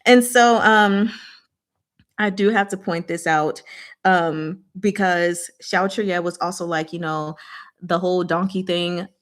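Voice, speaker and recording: Chatty, animated woman discussing a book. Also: lowish voice, slow. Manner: animated, giggly, slangy retelling with acted-out dialogue. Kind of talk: casual chatty book discussion, retelling scenes.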